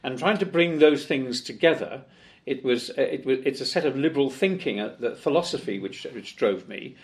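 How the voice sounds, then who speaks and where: Older man, gravelly voice, interview guest, rambling with fillers. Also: mid-range voice, lively intonation, normal speed. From Wales. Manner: chatty, with many fillers and restarts. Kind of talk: conversational interview. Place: office in Parliament.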